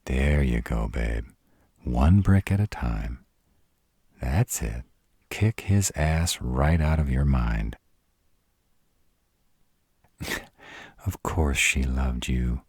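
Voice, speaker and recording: Man voicing a slow, very deep protective were-dragon lover. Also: expressive, darkish tone, slightly rough, no echo, clean studio sound, heavily acted. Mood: affectionate, protective. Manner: slow, crude-tongued, tender with partner. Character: protective, devoted male were-dragon lover, brash and fierce. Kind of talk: roleplay audio drama acting.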